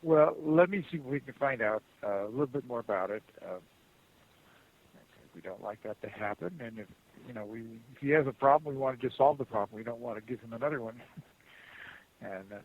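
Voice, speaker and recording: A man working as a customer service agent on the phone, speaking in a halting, rambling, conciliatory way. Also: mid-range voice. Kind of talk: unscripted phone support conversation. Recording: muffled phone call with hiss.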